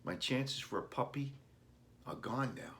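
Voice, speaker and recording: A man's voice: medium pitch, animated, a little slow, a bit bright, pitched above the performer's own voice. A man voicing an unhappy, pleading ten-year-old boy in a children's storybook, plaintive and earnest. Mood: sad, resigned.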